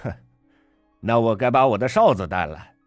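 A man reading a story aloud, voicing a brash, loud middle-aged father who bullies waiters with sarcasm. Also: mid-range voice, expressive, moderate pace, no echo, clean studio sound, faint music behind, voice pushed up, close to an everyday voice. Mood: mocking.

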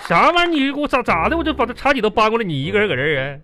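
An actor playing a bickering, clowning husband in a radio comedy, with a Northeastern Chinese accent and an earthy, stammering, exasperated delivery. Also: male voice, sits high, sing-song, racing, bright, forceful tone, soft background music, exaggerated voice. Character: bickering, blunt, clowning working-class husband from northeast China. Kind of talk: live comedy radio drama acting.

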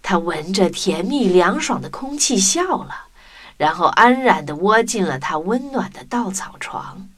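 A woman's voice: medium pitch, sing-song, measured pace, a bit bright. A woman narrating a children's story expressively. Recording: music under the voice.

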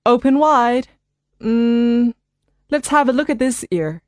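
A woman voicing a calm, reassuring family doctor in a scripted listening dialogue. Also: on the high side, no room sound, clean studio sound. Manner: clear, measured, reassuring delivery.

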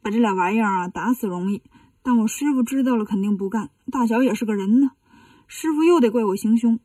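A woman acts out a brash, protective monkey king from a children's story. He talks bluntly and commands cockily, in a mellow yet husky voice. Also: a little high, fast, no echo, distant mic, natural voice. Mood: scheming.

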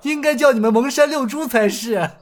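A man's voice: very high-pitched, pitched above the performer's own voice. A cocky, mocking young swordsman, as a man puts him on. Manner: mocking, laughing, drawn-out taunts.

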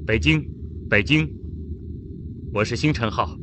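An actor playing a tense, protective spaceship captain and uncle in a radio drama, commanding and earnest, with ambient noise behind him. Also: male voice, mid-range voice, unhurried, natural voice. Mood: worried, urgent. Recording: noisy cabin-like ambience. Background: steady ambient noise.

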